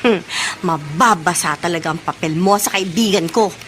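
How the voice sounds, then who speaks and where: A woman's voice: on the low side, moderate pace, fairly bright tone, a little hiss or hum, lightly acted. An actress playing a gloating young female friend in a Filipino radio drama.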